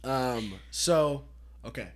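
A man's voice: medium pitch, slow pace. A man in his late twenties from California, hosting a casual podcast and joking around with lots of swearing. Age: 20s. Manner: profane, joking, animated. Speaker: podcast host.

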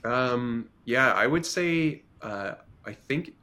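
Halting man with a bright voice. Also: mid-range voice, animated. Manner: halting, hesitant, many fillers. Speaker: roundtable panelist. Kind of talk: casual group chat.

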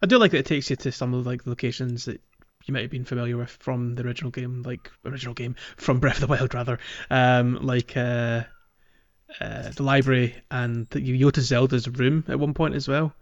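A man's voice: mid-range voice, fairly even intonation, fairly quick, no room sound, dull audio. A man hosting a casual gaming podcast, speaking conversationally and putting questions to his co-hosts. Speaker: podcast host.

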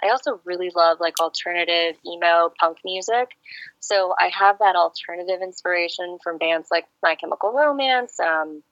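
Bright-voiced chatty woman singer-songwriter. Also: lowish voice, moderate pace, dry recording, lo-fi sound. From Serbia. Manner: friendly, chatty storyteller. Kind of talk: phone-in radio interview. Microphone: phone line.

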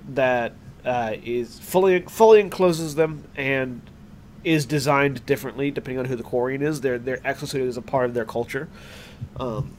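A man's voice: medium pitch, slight background noise. A man as game master, describing a scene with many fillers and restarts.

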